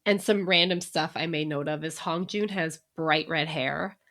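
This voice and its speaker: A woman's voice: sits low, moderate pace, fairly bright tone. A woman co-hosting a podcast in casual chat, talking easily and laughing often between remarks. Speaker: podcast co-host.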